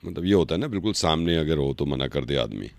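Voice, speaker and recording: A man voicing a genial middle-aged father on a prank call, halting and avuncular as he talks. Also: very deep voice, expressive, gravelly, a little mellow, no room sound, exaggerated voice. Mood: genial, hesitant. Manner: slow, halting, chuckling, avuncular. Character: genial, hesitant middle-aged father seeking a match for daughter.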